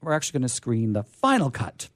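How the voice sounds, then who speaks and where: A man's voice: medium pitch, huge pitch swings, fast, fairly bright tone, no echo, studio quality. A middle-aged or older man hosting a radio show, chatting casually and enthusiastically in a rambling, self-deprecating way. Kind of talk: casual unscripted event chat.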